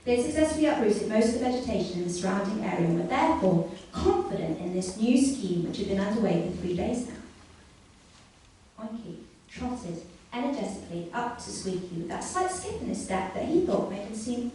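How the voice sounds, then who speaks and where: Lively woman storyteller in an echoey venue. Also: medium pitch. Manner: mock bedtime-story delivery, deliberate phrasing. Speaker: comic storyteller. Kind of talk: scripted comic story read live on stage. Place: live comedy venue, indoors. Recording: very echoey room, distant mic, faint noise.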